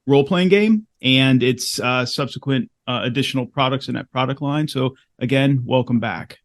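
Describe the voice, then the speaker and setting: A man's voice: medium pitch, normal speed, dry recording, studio quality. A man hosting a tabletop gaming podcast, introducing a guest in a friendly, conversational way. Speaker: podcast host.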